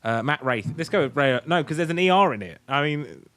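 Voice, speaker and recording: A man's voice: on the high side, expressive, a little fast, a bit bright. A tipsy British man co-hosting a podcast in casual, sweary, rambling comic banter. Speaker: podcast co-host.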